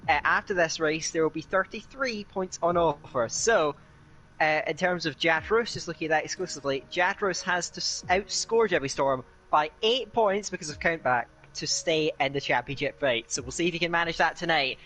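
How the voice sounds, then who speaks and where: A young Scottish man commentating live on an online racing league, chatty and filling pauses with "uh". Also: fairly high pitch, pushed and bright, a little hiss or hum, rough recording. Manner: chatty, with frequent "uh" fillers and a quick laugh. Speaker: esports race commentator.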